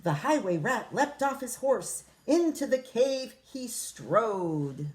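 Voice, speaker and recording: A woman reading a rhyming picture book to children as their teacher, expressive, with casual explanatory asides. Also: on the high side, takes it slowly.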